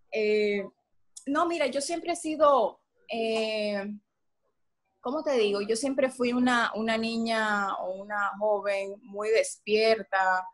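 A woman's voice: fairly high pitch, fairly bright tone. A young woman from Switzerland with a Dominican Spanish accent, chatting in a video call interview with many fillers and restarts. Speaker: singer guest. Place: home room indoors.

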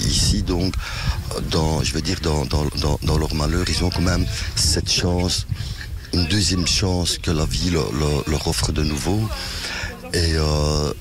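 A man, a school educator giving a halting interview outdoors, often repeating words. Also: very deep voice. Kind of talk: on-camera interview. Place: outdoors in a garden courtyard. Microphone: handheld interview microphone.

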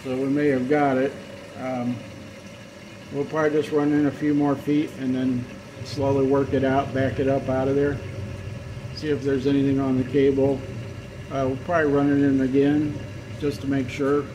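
Male plumber, casual how-to talk from a body-worn camera, even delivery, noisy basement. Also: mid-range voice, moderate pace. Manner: relaxed, explanatory, trails off with fillers. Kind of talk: casual how-to commentary while working. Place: basement utility area, indoors.

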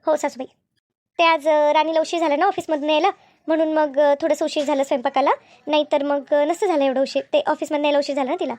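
A woman voicing a bossy, sly elder sister-in-law in a cartoon family drama, brisk and repetitive as she instructs. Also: high voice, little pitch movement, a little mellow, natural voice. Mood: placating, sly. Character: bossy, two-faced elder sister-in-law running the kitchen.

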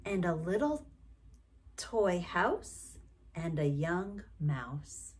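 A middle-aged woman reads a bedtime picture book for little ones. Her delivery is very unhurried and gentle, with pauses between phrases. The pitch rises and falls widely in a singsong storytelling way. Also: expressive. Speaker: bedtime story reader and host. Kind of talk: reading a picture book aloud to young children. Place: small bright room at home, indoors.

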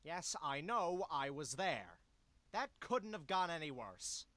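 A man's voice: a little high, sing-song, slow, pushed and bright, no echo, exaggerated voice. A man voicing an anxious, exasperated dog sidekick in a cartoon video game, whiny and sarcastic.

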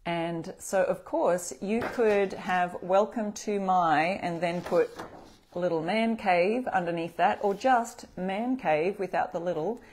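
A middle-aged Australian woman hosting a live craft tutorial, chatting with viewers and greeting them by name from a table in a bright room. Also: fairly low pitch, slow pace. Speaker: craft demo host.

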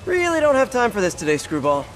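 An actor playing a young masked superhero in a video game, earnest, self-critical and given to wisecracks muttered to himself. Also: male voice, high-pitched, huge pitch swings, fast talker, a little hiss or hum, exaggerated voice. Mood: rueful, frustrated. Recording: loud game music and effects behind.

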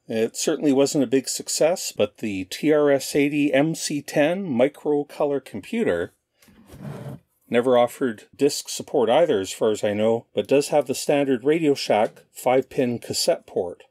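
A middle-aged man giving an informal show-and-tell narration as a host, explaining things in a measured way. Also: mid-range voice, a little mellow, dry recording, clean studio sound. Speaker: host of a retro computing show.

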